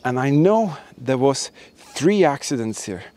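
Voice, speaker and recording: A man's voice: mid-range voice, very animated, unhurried, a little mellow. A man hosting a history exploration video, narrating to camera as he walks through a forest with an emphatic, repetitive way of talking. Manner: enthusiastic, emphatic, repeats words. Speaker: host of history exploring channel. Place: outdoors in a forest and ruined buildings.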